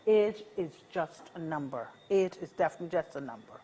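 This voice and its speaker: A woman's voice: low voice, lively intonation, fairly quick, mellow, breathy edge. A woman in her sixties with a Barbadian accent, speaking in an encouraging, upbeat way as an interview guest and often repeating her key phrases. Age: 60s. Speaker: senior advocacy organization representative. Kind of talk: casual interview conversation.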